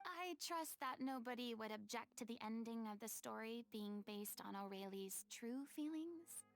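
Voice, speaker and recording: A woman's voice: fairly high pitch, animated, normal speed. A woman playing a proud, theatrical young lady in a video game, speaking in a commanding, declarative manner.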